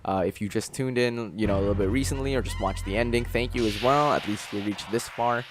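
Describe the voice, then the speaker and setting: A man's voice: fairly low pitch, a little fast, noisy background. A young man hosting a game playthrough, talking casually about the game in a rambling way, full of fillers and restarts. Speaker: let's play host. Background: game music.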